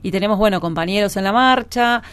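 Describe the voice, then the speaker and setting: A woman's voice: medium pitch, quick pace, smooth. A woman co-hosting a live radio broadcast, chatting casually about the program. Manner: casual, informal. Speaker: radio co-host.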